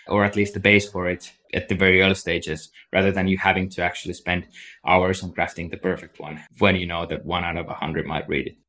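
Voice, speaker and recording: A man with a Finnish accent and a somewhat low voice explains business topics as a guest in a podcast interview. He talks steadily and at length, leaning on "like" and "so" as he builds his points. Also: fairly bright tone. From Finland. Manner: explanatory, many fillers like "like" and "so". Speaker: agency CEO, guest.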